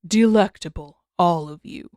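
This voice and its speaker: A woman's voice: on the low side, darkish tone, no echo, studio quality, close to an everyday voice. Woman as a rich-voiced, gloating monster spirit. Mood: gloating, hungry. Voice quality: rich, unnervingly smooth tone. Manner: slow, savoring, ornate phrasing. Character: menacing, gloating centipede spirit with a human face.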